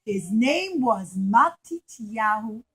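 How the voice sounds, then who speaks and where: A woman's voice: a little high, lively intonation, slow pace, breathy edge. A woman telling a story to young children as a puppet show, with simple phrasing and dramatic emphasis, addressing them directly.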